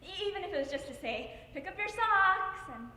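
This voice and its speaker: A young woman performing a stage monologue as a tender, grieving middle-aged mother, with halting, theatrical emotion. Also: a little high, expressive, fairly bright tone. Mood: yearning, grieving. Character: grieving mother Madeline, anguished and tender, reliving loss. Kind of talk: dramatic interpretation monologue on stage. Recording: echoey hall with noise.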